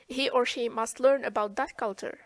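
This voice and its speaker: A woman's voice: fairly high pitch, steady tone, moderate pace, no room sound. A woman from eastern Algeria with an Algerian accent, hosting a webinar conversation as moderator and English teacher. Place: online video call.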